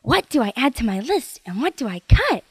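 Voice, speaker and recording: Storybook kid: high, lively little boy, earnest and breathless, voiced by a woman narrator. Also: fairly quick, slightly rough, no echo, heavily acted. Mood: eager. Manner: breathless, eager, childlike. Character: excited little boy, wide-eyed and earnest.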